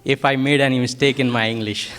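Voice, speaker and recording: A man's voice: medium pitch, steady tone, fast talker, a bit bright. A man from Pakistan, a native Urdu speaker with a Pakistani accent, gives a technical workshop presentation as a product manager, often hesitating and searching for words.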